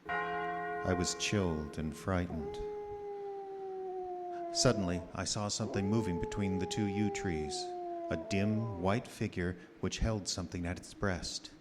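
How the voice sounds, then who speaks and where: An actor playing a somber, haunted, skeptical doctor, speaking deliberately in a gothic radio drama. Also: male voice, sits low, wildly swooping pitch, heavily acted. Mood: horrified, somber. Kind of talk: gothic radio drama acting and diary narration. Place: live theater stage. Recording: reverberant theater hall with noise.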